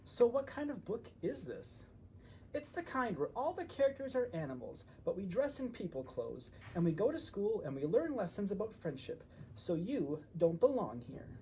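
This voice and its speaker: A fussy little hedgehog girl from a storybook, performed by a man. The voice is high and very changeable, bossy and excitable. There is some noise behind it. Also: moderate pace, rough recording. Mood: indignant. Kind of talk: children's picture book read-aloud.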